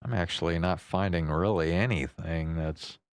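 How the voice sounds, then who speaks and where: A man's voice: low-pitched, normal speed, clean tone, no room sound, clean studio sound. A man talking casually and unscripted as a livestream host, thinking aloud hesitantly and swearing now and then.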